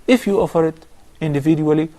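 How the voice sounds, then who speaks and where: A man, a sheikh on a call-in show, giving measured, step-by-step religious advice. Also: fairly high pitch, warm tone. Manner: measured, instructive, lists steps. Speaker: sheikh answering viewer questions.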